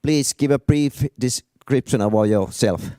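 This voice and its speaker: A man moderating a stage panel on a handheld mic, halting and restarting his sentences. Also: medium pitch, dark tone, no room sound, studio quality. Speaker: moderator, business advisor. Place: event stage.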